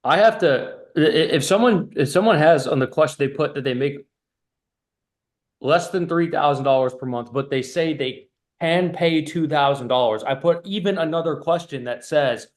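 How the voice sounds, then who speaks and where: This is a man with a fairly high-pitched voice talking like a business coach on an informal call. He is blunt and swears freely. His delivery is emphatic and choppy, often restarting and repeating phrases. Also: normal speed. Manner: blunt, profane; emphatic, repetitive stop-start delivery.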